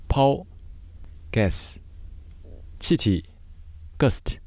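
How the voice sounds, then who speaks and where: A man teaching vocabulary word by word in measured, short separated phrases, as a scripted lesson. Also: mid-range voice, very animated, a little mellow, husky, no echo.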